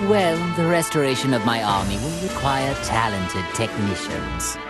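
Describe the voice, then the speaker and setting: A man plays an imperious alien emperor from an anime game. His delivery is formal and menacing, with sweeping, animated pitch. Loud music runs underneath. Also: normal speed, natural voice. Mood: imperious, impatient. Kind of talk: anime video game voice acting.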